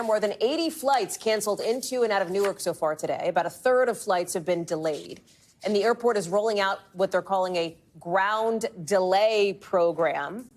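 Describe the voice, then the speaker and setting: A woman's voice: mid-range voice, a little hiss or hum. American woman anchoring live news.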